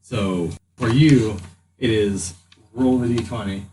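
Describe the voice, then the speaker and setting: A man's voice: lowish voice, slow pace, warm tone, a little hiss or hum. A man narrating a tabletop game as game master. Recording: roomy, echoey distant mic.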